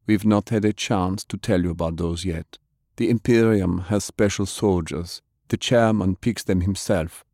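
A man voicing a polite, cool and wary male magic knight with a measured, formal manner in an audiobook. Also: low voice, mellow, no echo, clean studio sound. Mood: grave.

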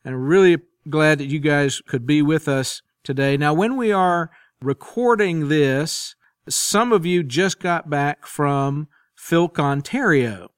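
A man's voice: a little high, smooth, dry recording, studio quality. A man hosting a podcast, interviewing guests in a conversational style. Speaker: podcast host.

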